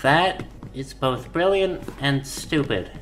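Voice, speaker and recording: A man's voice: medium pitch, expressive, takes it slowly, fairly bright tone. A man playing a sarcastic, impatient robber henchman in an audio drama. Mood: sarcastic, exasperated.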